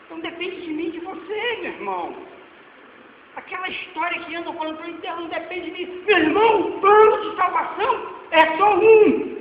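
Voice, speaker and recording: Forties male preacher, Brazilian accent, fervent then hushed, recorded roomy and far with faint hiss. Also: very high voice. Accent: Brazilian Portuguese. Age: 40s. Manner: swings between loud exhortation and quiet asides. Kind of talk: sermon with slides. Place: church hall. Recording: echoey hall, dull lo-fi sound, faint noise.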